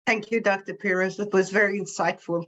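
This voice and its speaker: A woman's voice: medium pitch, steady tone, a little fast, slight background noise. A woman moderating an online panel over a video call, thanking speakers politely. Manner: polite, gracious. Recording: dull lo-fi audio.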